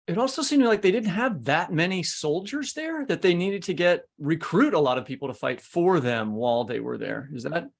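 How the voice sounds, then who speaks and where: A man with a somewhat higher-than-average voice co-hosts a podcast. His delivery is conversational, like casual chat between colleagues. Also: very animated, moderate pace, vocal fry, few pauses. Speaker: podcast host.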